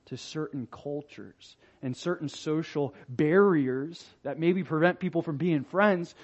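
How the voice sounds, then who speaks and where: High-voiced earnest preacher, dull lo-fi sound. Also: male voice, slightly rough. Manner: earnest, swings from soft and slow to loud and raised. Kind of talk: sermon.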